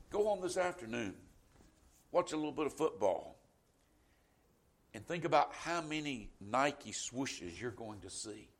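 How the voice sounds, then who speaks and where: Male pastor, Southern US accent, middle-aged, slightly higher voice, folksy conversational sermon delivery. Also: fairly bright tone. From Florida, US. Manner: conversational preaching with frequent "you know".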